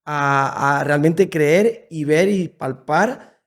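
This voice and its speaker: A man in his thirties with an Andalusian Spanish accent, telling his story as a podcast guest in a lively, repetitive way. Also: on the high side, very slow. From Seville, Andalusia. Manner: warm, enthusiastic storytelling with frequent repetitions. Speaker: podcast guest, church leader. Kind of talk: casual conversational interview. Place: creative studio in Madrid.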